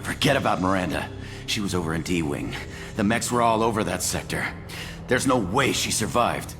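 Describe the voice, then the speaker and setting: A gruff, suspicious injured tech. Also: male voice, low voice, lively intonation, normal speed, music in the background. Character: wounded, bitter male station technician. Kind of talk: video game voice acting.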